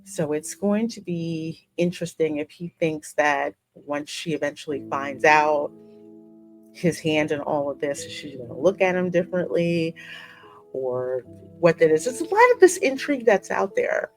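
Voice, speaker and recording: A woman with a slightly low voice hosts a gaming channel in a casual, conversational style. She talks through story and characters, with faint music playing underneath. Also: expressive, normal speed, low-quality recording. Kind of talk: casual discussion of a game story.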